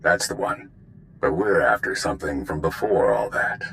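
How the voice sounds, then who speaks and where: An actor playing an authoritative intelligence commander in a video game, measured and grave. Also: male voice, very deep voice, big pitch swings, creaky, a bit bright, slight background noise, heavily acted. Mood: measured, commanding.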